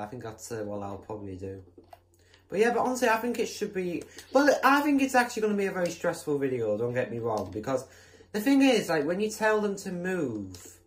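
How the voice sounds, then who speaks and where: Guy rambling over his game build, lots of ums and restarts, voice bounces all over. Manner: rambling, chatty, many fillers and restarts; bursts into excited high exclamations. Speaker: gameplay channel host. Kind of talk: casual game commentary while building.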